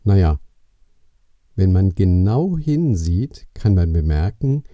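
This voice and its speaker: A man's voice: low-pitched, big pitch swings, slow, no echo, dull audio. A man narrating a scripted Christmas story for a family audio play.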